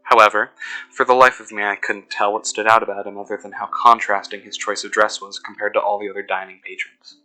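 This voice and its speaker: A man playing an uneasy investigator who recounts events in measured, deliberate horror narration. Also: on the low side, flat delivery, pushed and bright, rough recording. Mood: measured unease. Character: uneasy male investigator recounting being followed. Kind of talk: scripted first-person horror narration. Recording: music behind the voice.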